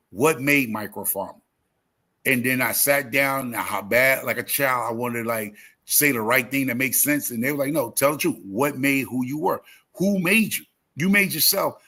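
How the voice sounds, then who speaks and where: Bright, husky-voiced man in his fifties. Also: medium pitch. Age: 50s. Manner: talkative, emphatic, repeats phrases for emphasis. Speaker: YouTube gardening creator and live host. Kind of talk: casual livestream chat, motivational storytelling.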